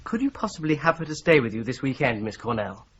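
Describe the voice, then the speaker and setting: An actor playing a curious, thoughtful lawyer who keeps asking questions, in a muffled old radio play. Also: male voice, mid-range voice, big pitch swings, fast, a bit bright. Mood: probing. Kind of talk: 1950s-style radio drama acting. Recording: dull, muffled vintage radio recording.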